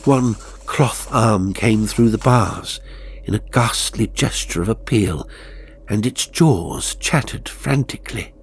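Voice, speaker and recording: A man narrating a horror story as an audiobook reader, in a deliberate, suspenseful and theatrical way. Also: lowish voice, slight background noise. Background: faint music.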